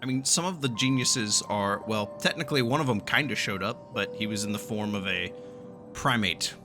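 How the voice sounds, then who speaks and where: A man's voice: on the low side, moderate pace, over music. A man giving casual, reactive, joking commentary as he plays a video game. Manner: reactive, jokey. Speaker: gaming YouTuber. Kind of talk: casual let's-play commentary.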